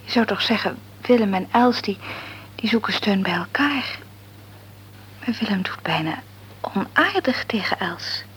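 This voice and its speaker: A woman playing an upset young mother in a radio play, speaking hushed and breathy. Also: medium pitch, expressive, measured pace, pauses often, slight background noise, poor audio.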